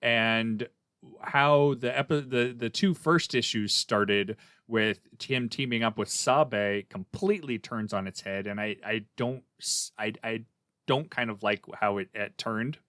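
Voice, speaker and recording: A man's voice: lowish voice, a little slow, a bit bright, no room sound, clean studio sound. A man speaking casually and giving his opinions as a panelist in a discussion about comics. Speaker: podcast panelist reviewing comics.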